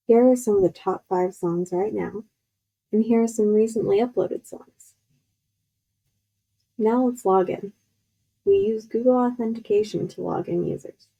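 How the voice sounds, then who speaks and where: A young woman, giving a guided walkthrough of a software product. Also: mid-range voice, measured pace, long pauses, husky, poor audio. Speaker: student demo presenter.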